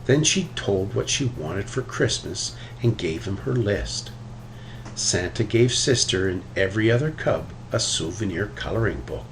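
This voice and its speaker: Male storybook reader, low register, very lively intonation, some background noise. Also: a little slow, rough recording. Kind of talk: reading a children's story aloud.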